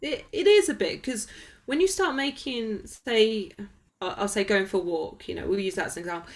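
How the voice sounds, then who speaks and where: A woman's voice: medium pitch, normal speed. A woman being interviewed as a wellness coach, talking conversationally in an emphatic, motivational way with everyday examples.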